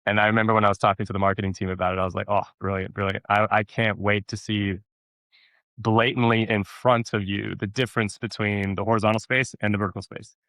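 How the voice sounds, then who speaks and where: A man chatting casually as an interview guest, telling stories in an animated way, repeating words for emphasis and acting out quotes. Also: lowish voice, fast talker, dry recording. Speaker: actor being interviewed.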